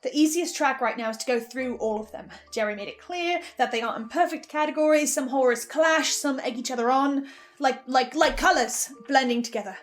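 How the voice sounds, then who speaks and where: A woman giving a casual, animated recap and reaction, often interrupting herself with asides. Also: sits high, brisk. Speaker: host of a podcast reaction show.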